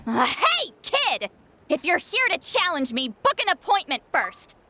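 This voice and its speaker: Brusque, high, bright female shaman. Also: sing-song, a little slow, exaggerated voice. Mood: annoyed, flustered. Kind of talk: video game voice acting.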